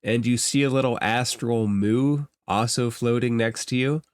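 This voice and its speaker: A man narrating a tabletop fantasy game as game master, describing scenes in improvised, halting phrases and thinking aloud. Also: medium pitch, steady tone, smooth, dry recording, studio quality.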